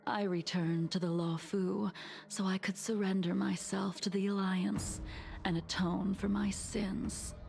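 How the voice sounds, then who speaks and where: A remorseful swordswoman who speaks in a slow, hushed half-whisper with a gravelly rasp underneath. She sounds calm and distant, and game music plays behind her. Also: mid-range voice. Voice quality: soft, whispery delivery. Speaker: game character. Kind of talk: game cutscene voice acting.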